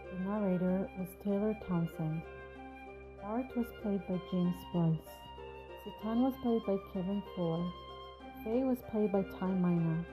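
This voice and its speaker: Woman announcer over clear music. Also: mid-range voice, poor audio. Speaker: credits announcer. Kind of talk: scripted credits reading.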